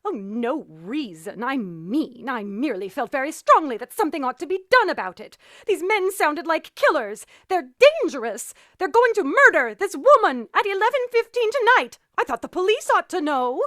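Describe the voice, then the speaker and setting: Woman acting very high-pitched, frantic, imperious wife. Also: sing-song, normal speed, hardly any pauses, sharp tone, no echo, studio quality, full character voice. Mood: flustered, insistent. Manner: demanding, agitated, indignant, emphatic. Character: frantic, imperious invalid wife in 1940s New York. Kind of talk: 1940s radio drama acting.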